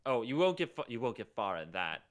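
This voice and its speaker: A man's voice: medium pitch, very animated, brisk, voice pushed down, close to an everyday voice. A man playing a bitter, defensive former mutant hero in a script read aloud. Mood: resigned. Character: bitter, defensive ex-mutant man who gave up his wings.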